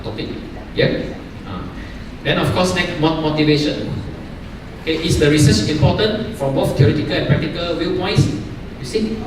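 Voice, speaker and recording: Man lecturing in an echoey hall. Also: a little high. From Malaysia. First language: not English. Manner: frequent "okay" and "you know" fillers, coaching tone. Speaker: workshop speaker, journal editor. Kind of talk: academic workshop talk. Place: large university hall. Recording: echoey hall, distant mic, faint noise.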